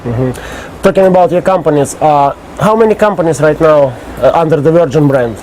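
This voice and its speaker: Mellow Russian-accented man interviewing, nervous. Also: fairly high pitch, a little hiss or hum, low-quality recording. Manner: nervous, halting, laughs between questions. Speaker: interviewer, host. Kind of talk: casual interview in second-language English. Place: outdoors on an island.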